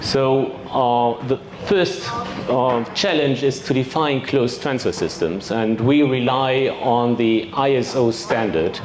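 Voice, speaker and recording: A man with a German accent giving a technical presentation at a trade fair, measured, with fillers and throat clearing. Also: medium pitch. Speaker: industry expert presenter. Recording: echoey hall with background noise.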